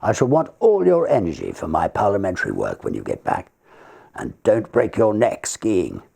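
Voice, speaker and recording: Man playing a gravelly, kindly older barrister. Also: lowish voice, wildly swooping pitch, mellow, pitched above the performer's own voice, exaggerated voice. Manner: measured, avuncular, fatherly.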